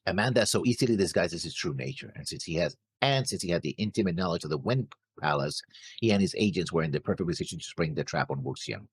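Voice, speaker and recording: A man co-hosting a reaction show, deliberately laying out his theories from prepared notes. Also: low voice, animated, breathy edge, dry recording. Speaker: co-host of an anime reaction show.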